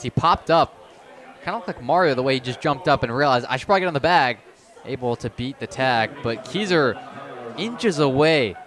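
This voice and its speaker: A man's voice: medium pitch, a bit bright. A man giving conversational color commentary and analysis on a live radio baseball broadcast. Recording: clear crowd noise of outdoor ballpark. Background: ballpark crowd.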